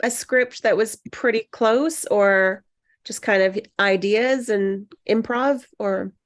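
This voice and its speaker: A woman's voice: medium pitch, slow pace. A woman talking casually in a group discussion after a script reading. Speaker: table read actor.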